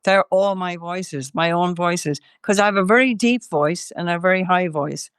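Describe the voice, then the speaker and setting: A woman's voice: lowish voice, normal speed, clean tone, no room sound, clean studio sound. An older woman from Ireland, a singer interviewed as a guest, talking in a rambling way with many fillers and restarts while chewing gum. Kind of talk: casual interview chat.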